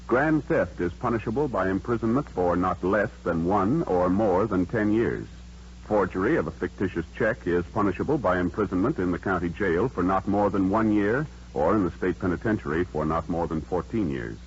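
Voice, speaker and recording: American man, deep pitch, solemn official announcing, old-time radio style, dull recording. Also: slight background noise. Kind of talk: formal 1950s scripted radio narration.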